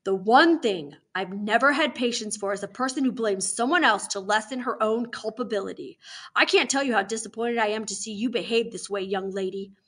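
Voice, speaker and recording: A woman's voice: medium pitch, brisk, a bit bright, close to an everyday voice. A woman voicing a stern, indignant prison warden in an audiobook, scolding with emphatic authority. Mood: cold anger.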